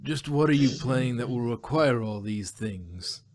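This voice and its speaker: A man voicing a patient, mild-mannered kitchen manager in a story, measured and mildly dry. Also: mid-range voice, animated, noisy background, natural voice. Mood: puzzled.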